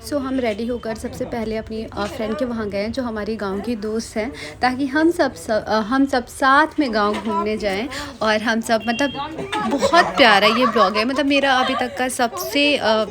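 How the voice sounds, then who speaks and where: A woman's voice: on the high side, a little slow, a crowd in the background. A young woman from Bihar, India, chatting enthusiastically in a casual vlog voice-over and mixing in English phrases. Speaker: vlogger.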